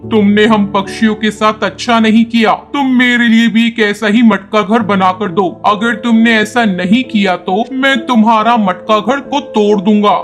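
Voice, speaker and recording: A woman's voice: medium pitch, lightly acted. A woman doing a bullying, threatening male bird. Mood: demanding. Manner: threatening, bossy.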